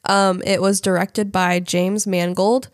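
A woman's voice: mid-range voice, level tone, takes it slowly, no room sound, studio quality. A woman co-hosting a casual podcast, congested from a cold, reading out facts with frequent fillers and some hesitation over names. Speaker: podcast co-host.

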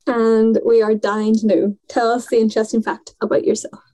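Woman, thirties, mission worker, earnest, articulate, mellow warm tone, slightly high voice. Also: level tone, normal speed. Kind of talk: conversational podcast interview.

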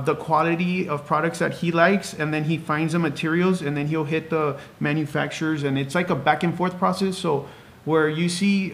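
A man's voice: a little high, a bit bright, slight background noise. A man in his thirties chatting casually as a podcast guest, talkative and full of small anecdotes. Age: 30s. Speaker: podcast guest, clothing brand co-owner. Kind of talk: casual chat.

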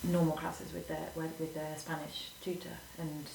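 A woman, a guest chatting casually, whose phrases often trail off quietly. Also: low-pitched, level tone, moderate pace, creaky. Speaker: guest, former teacher. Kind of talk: casual conversation. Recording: distant echoey mic with faint noise.

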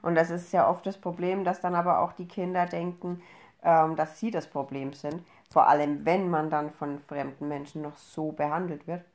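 A woman's voice: low voice, fairly even intonation, moderate pace, darkish tone. Woman in her thirties, casual podcast co-host. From Upper Franconia, Germany. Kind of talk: casual conversational podcast talk.

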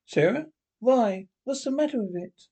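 A husky-voiced reader voicing a frightened young woman, speaking in an anxious hush. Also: medium pitch, animated, normal speed, voice pushed up. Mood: puzzled.

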